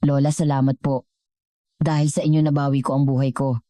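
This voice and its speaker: A woman plays a grateful young Filipino man in a dramatic story, speaking earnestly and respectfully. The voice is very low and mellow, recorded dry. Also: little pitch movement, fairly quick, dry recording. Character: grateful, devoted young man in his twenties.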